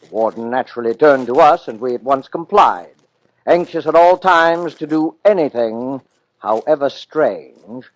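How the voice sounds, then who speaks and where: A man's voice: mid-range voice, animated, a little slow, no echo, poor audio. A man presenting a 1940s radio drama with a formal, dramatic scripted delivery.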